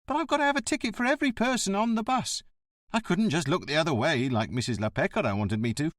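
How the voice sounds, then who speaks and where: A man puts on a surly, reed-thin bus driver of about fifty, pitched well above his own reading voice. He speaks bluntly and with a stickler's grievance, in a storybook read close and dry. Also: animated, quick pace, a bit bright, no room sound. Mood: indignant. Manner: blunt, aggrieved, sticks to the rules.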